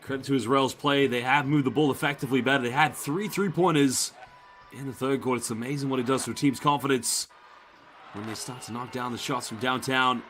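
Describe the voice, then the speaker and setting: A man's voice: mid-range voice, a little fast. Chatty London play-by-play man calling basketball over a noisy arena feed. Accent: London English. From England. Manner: chatty, reads out viewer shout-outs between plays. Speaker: play-by-play commentator. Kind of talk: live basketball commentary.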